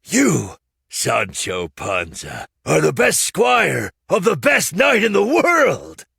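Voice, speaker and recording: A woman's voice: low voice, wildly swooping pitch, slow pace, vocal fry, fairly bright tone, breathy edge, no room sound, clean studio sound, pitched above the performer's own voice, full character voice. A woman voicing a theatrical male puppeteer in a storybook, effusive and grandiose in his declaiming. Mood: fawning. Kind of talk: theatrical children's storybook read-aloud.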